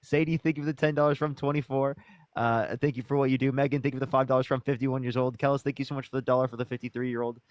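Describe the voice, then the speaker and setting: Rapid-fire man thanking donors. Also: medium pitch, little pitch movement, no room sound. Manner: rattles off thanks, mocking laughs. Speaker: streamer co-host. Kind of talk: rapid donation thank-yous.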